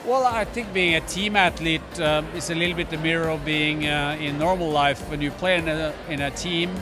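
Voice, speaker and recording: A man speaking as a business executive on a red carpet, measured and modest, with frequent hesitations. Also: a little high. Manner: measured, modest, with many uh's. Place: indoor event red carpet with music. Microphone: handheld mics held by interviewers. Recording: noisy event with loud music.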